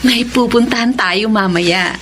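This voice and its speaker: A woman's voice: a little high, unhurried, fairly bright tone. A woman playing a breezy, reassuring young coworker friend in a Filipino audio drama, with a Filipino accent. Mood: playful, coaxing. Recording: faint background noise.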